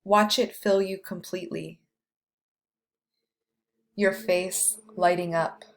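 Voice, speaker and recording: A woman guiding a meditation with scripted, poetic narration, delivered in a measured way with long pauses. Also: fairly low pitch, steady tone, gravelly. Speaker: meditation guide.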